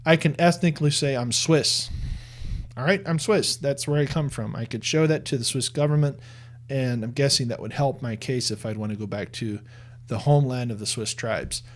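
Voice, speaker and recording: A man's voice: mid-range voice, moderate pace, slight background noise. A man giving earnest religious commentary in a conversational tone, often restarting and repeating words as he makes his case.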